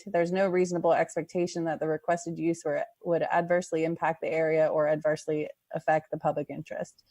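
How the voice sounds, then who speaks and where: A woman's voice: sits low, dark tone, dry recording. A woman working as staff at a public board meeting, reading out items and talking informally through procedure. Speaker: city zoning board staff member.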